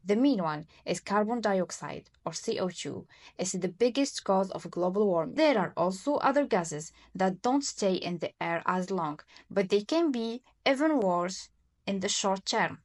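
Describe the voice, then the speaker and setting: A young woman from Morocco co-hosts a podcast and explains things from a prepared script. Her voice has a middling pitch, and she reads with clear emphasis. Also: lively intonation, unhurried. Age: 20s. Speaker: podcast co-host.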